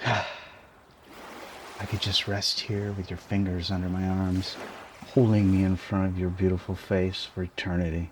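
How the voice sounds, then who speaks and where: A man's voice: low-pitched, little pitch movement, normal speed, slight background noise, natural voice. A man playing a tiny shrunken boyfriend in an intimate ASMR roleplay, playful, teasing and doting, with theatrical exclamations. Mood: tender, dreamy.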